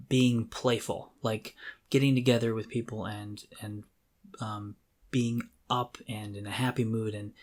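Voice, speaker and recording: A middle-aged man co-hosting a podcast, talking casually and reflectively in an earnest, halting way as he thinks aloud. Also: mid-range voice. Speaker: podcast co-host. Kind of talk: casual, reflective talk about creativity.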